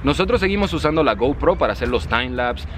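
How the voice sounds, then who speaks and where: A man's voice: mid-range voice, fast. A man in his thirties from the Dominican Republic with a Cibao accent, chatting casually with viewers while answering comments outdoors in a park. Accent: Dominican, Cibao region. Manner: lively and chatty, often addresses viewers as "señores". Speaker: vlogger, channel host. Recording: outdoor noise behind voice.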